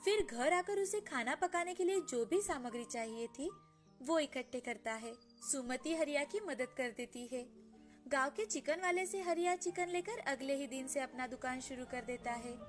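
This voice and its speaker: A woman narrating a scripted children's moral story as storyteller. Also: high voice.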